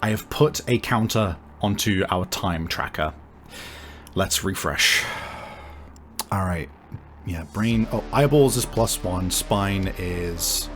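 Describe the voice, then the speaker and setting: A man's voice: deep voice, slow, fairly bright tone, soft background music. A man acting as game master, casually working through rules and improvising scene narration, piecing his thoughts together aloud in a hesitant way.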